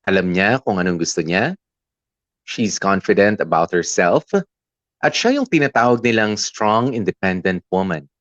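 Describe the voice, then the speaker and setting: A man's voice: lowish voice, no echo. An actor playing a smitten young man telling his romance in candid, conversational Taglish narration. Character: lovestruck young male letter writer recounting his romance. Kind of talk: confessional first-person Taglish drama narration. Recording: dull, dry close recording.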